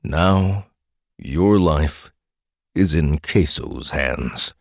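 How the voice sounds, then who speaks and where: A man's voice: rumbling low, very slow, gravelly, no room sound, studio quality, voice pushed down, exaggerated voice. A man voicing a proud, menacing beast war leader with a formal, solemn, cold manner. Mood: stern, ominous.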